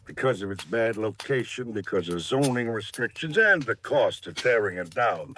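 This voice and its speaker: A man's voice: fairly low pitch, lively intonation, moderate pace, fairly bright tone. An actor playing a smug, brazen American businessman in 1950s radio drama, self-satisfied and unbothered. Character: smug, brazen male businessman with a burned warehouse. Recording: vintage radio recording, faint noise.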